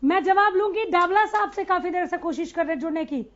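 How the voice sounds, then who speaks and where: A woman's voice: extremely high pitch, a little fast, fairly bright tone. A woman moderating a live TV news panel debate as its anchor. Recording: dull, band-limited broadcast audio.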